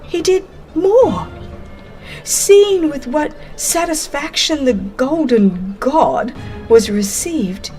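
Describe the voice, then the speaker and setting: A woman reading a dramatized religious narration in a solemn, expressive, deliberate way. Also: sits high. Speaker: audiobook narrator. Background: music.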